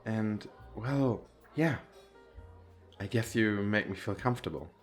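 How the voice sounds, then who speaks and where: Guy playing a nervous shy rich office guy on a date, asmr roleplay, really slow and rambly, faint music behind. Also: lowish voice. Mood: nervous, candid. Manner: hesitant, rambling, self-deprecating. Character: shy, nervous, wealthy businessman on a speed date. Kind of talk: ASMR roleplay, intimate flirty chat.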